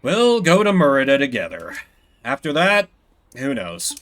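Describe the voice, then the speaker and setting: A man voicing a gruff, impatient lone mouse warrior in a video game, terse and dismissive. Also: medium pitch, wildly swooping pitch, measured pace, a bit bright. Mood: curt, dismissive.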